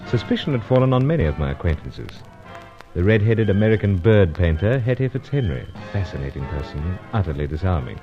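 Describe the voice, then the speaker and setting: An actor playing a suave, earnest British secret agent in an old radio adventure serial, with deliberate, clipped, dramatic delivery. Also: male voice, low voice. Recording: dull lo-fi old radio recording.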